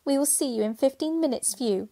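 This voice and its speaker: High-voiced rhyming pantomime fairy played by a woman. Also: a little fast, mellow. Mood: cheery, announcing. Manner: theatrical rhyming delivery. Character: magical fairy spirit of Bow Bells, rhyming narrator. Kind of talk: pantomime radio play narration.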